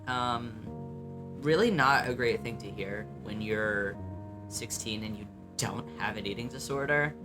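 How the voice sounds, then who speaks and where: A young man with a light voice carrying some bass, reacting to his old videos in casual talk, blunt and self-mocking, swearing freely and filling pauses with ums. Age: young adult.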